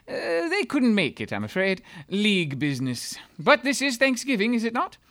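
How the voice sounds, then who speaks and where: A man voicing a polite, fussy gentleman agent. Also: high-pitched, huge pitch swings, normal speed, no echo, clean studio sound, heavily acted. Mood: genteel.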